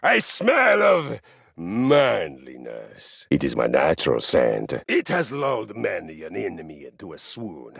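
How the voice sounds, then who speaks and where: An actor voicing a boastful, battle-hungry Viking warrior man in a video game, swaggering and theatrical with booming shouts. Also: wildly swooping pitch, a little slow, dry recording, clean studio sound, heavily acted. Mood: proud. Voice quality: gravelly, booming. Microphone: close studio mic.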